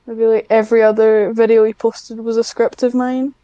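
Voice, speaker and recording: A woman's voice: on the high side, little pitch movement, moderate pace, dark tone, clean tone, dry recording, highs cut off. A woman chatting casually as an interview guest, in a hesitant, self-deprecating way. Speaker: guest, hobbyist audio script writer.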